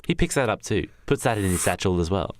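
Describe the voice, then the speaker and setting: A man's voice: deep voice, very animated, quick pace. A man narrating an improvised comedy radio play in a theatrical, emphatic storytelling manner. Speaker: podcast narrator.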